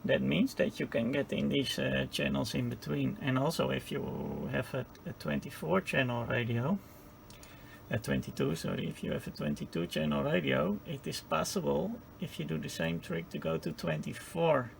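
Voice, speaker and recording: A man giving an informal hands-on technical tutorial, speaking haltingly as he searches for words. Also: medium pitch, sing-song, creaky, slight background noise. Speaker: presenter of a radio repair tutorial.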